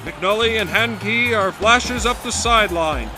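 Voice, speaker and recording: A male actor as an excited 1920s football play-by-play man in a radio drama. His voice is pitched very high. Clear music runs behind the commentary. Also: a little slow. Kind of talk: sports commentary.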